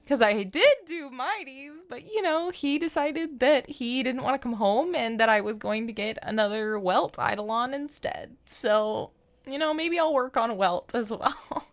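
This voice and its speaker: A woman hosting a game livestream, chatting casually in an animated, playful, rambling way. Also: a little high, unhurried. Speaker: game streamer and host. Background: background music.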